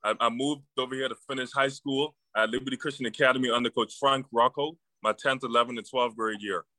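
A young man from the Bahamas, a newly drafted football player, answering press questions in a halting way with many fillers. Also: medium pitch, steady tone, bright, forceful tone, no echo, rough recording. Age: young adult.

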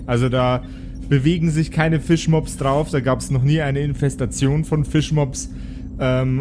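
A man improvising as game master in a tabletop role-playing game, often pausing to search for his words. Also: mid-range voice. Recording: clear background noise and ambience.

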